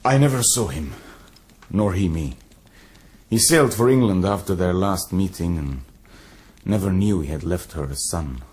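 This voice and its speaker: An actor in a radio drama playing a proud, courteous young Syrian-born warrior who speaks in a measured, formal and earnest way. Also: male voice, low voice, expressive, a little mellow. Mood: proud, wistful.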